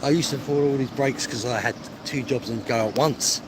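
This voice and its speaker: A man's voice: medium pitch, fairly quick. A man chatting casually to a live stream, rambling and matey, with a self-deprecating way of talking. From England. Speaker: livestreaming travel vlogger. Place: outdoors on streets, walking. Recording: clear outdoor street noise, traffic.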